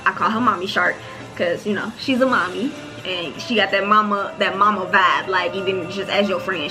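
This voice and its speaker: A woman in her late twenties telling a personal story to her viewers in a casual, expressive way. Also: medium pitch, rough recording. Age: late 20s. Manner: chatty, expressive, talks straight to her viewers. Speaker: podcast host.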